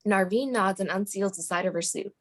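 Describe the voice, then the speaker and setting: Woman reading out stage directions, mid-pitched, scripted narration for a table read. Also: a little fast.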